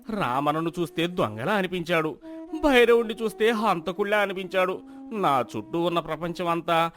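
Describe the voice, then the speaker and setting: A man plays a frightened, guilt-stricken shopkeeper from a village storybook. His fairly high voice moves up and down a great deal as he pleads in weary distress, with music behind him. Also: fairly bright tone. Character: anxious, guilt-ridden village shopkeeper, worn out by fear. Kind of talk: acted moral-story dialogue.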